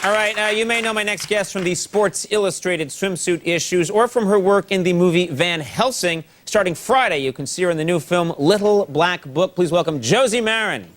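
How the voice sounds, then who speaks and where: Male late-night host, jokey and teasing, slightly high voice, studio audience audible behind him. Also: moderate pace, hardly any pauses, crowd noise. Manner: playful, teasing, lively delivery. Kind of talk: joking interview with a guest. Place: television studio with live audience. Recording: broadcast with audience noise.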